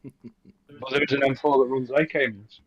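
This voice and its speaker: A man's voice: mid-range voice, steady tone, normal speed, lo-fi sound, crowd noise. A man with a Mancunian accent, chatting and teasing friends on a gaming stream. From Manchester, England. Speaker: co-player. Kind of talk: casual gaming chat.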